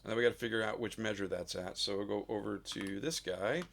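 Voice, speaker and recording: A man recording a casual vlog in his home studio, talking into a studio mic with a big, loud voice and rambling with lots of fillers. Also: on the low side, steady tone, fast talker, vocal fry, slight background noise. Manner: rambling, self-deprecating, full of fillers. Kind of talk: casual vlog talk between sung takes. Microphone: studio dynamic mic.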